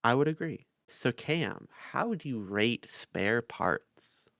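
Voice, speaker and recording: A man's voice: medium pitch, expressive, takes it slowly, creaky, fairly bright tone, dry recording, studio quality. A man co-hosting a podcast, talking casually as he reviews and rates stories. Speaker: podcast co-host.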